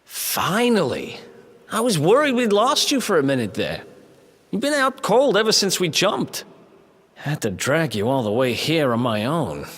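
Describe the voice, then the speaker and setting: An actor playing a cocky, loyal young thief in a video game, with a breezy, wry, streetwise manner. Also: male voice, high voice, exaggerated voice. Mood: relieved, animated. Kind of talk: video game cutscene acting. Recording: loud game music behind the voice. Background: loud music.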